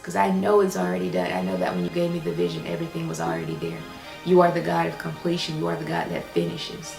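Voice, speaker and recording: A woman's voice: low voice, lively intonation, quick pace, gravelly, music in the background. An American woman talking candidly and reflectively in a casual personal vlog, repeating herself for emphasis. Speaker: vlogger.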